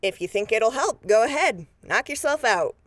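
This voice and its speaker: A woman's voice: fairly high pitch, animated, normal speed, a bit bright, no echo, clean studio sound. A woman acting a confident deputy in a post-apocalyptic audio drama, with a casual, needling, folksy way of talking. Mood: casual, firm. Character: confident, folksy female deputy, teasing but firm.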